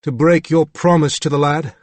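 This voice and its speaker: A man doing a crisp upper-class British gentleman. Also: a little high, steady tone, moderate pace, no echo, voice pushed up, natural voice. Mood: disdainful. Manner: crisp, clipped, barely moving jaw. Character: stern aloof upper-class Englishman, disdainful.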